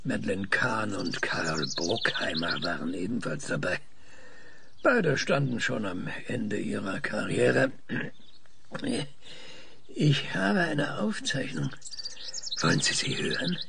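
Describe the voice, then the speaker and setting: Male actor for older obsessive scientist, audio drama: stammering, pedantic, gravelly, faint noise behind. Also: on the low side, big pitch swings, slow, pauses often, full character voice. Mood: pedantic, hesitant. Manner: hesitant stammering, pedantic, insistent. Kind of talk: German audio drama acting.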